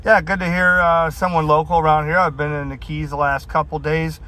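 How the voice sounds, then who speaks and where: A man's voice: a little high, little pitch movement, brisk, sharp tone. A man calling over CB radio from a vehicle, chatting casually with slangy radio lingo. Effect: heard over CB radio. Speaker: mobile CB radio caller. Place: inside a vehicle on a highway.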